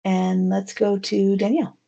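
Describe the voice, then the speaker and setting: A woman's voice: mid-range voice, level tone, measured pace, gravelly, dark tone. A woman leading an online team meeting, chatty and friendly with frequent fillers, heard over a video call. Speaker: meeting facilitator. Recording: dull lo-fi audio.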